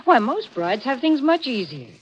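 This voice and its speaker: A cheerful, chatty radio spokeswoman of the 1940s. The voice sits fairly high, with emphatic selling phrases. Faint noise runs through the old recording. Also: very animated, normal speed, lo-fi sound. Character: chatty, cheerful female tea saleswoman. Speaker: sponsor's spokeswoman. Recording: slightly noisy vintage radio recording.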